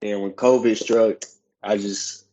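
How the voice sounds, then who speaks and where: Low flat laid-back young man. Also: slow. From South Carolina. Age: young adult. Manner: laid-back, matter-of-fact storytelling. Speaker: guest, college football player. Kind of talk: casual interview.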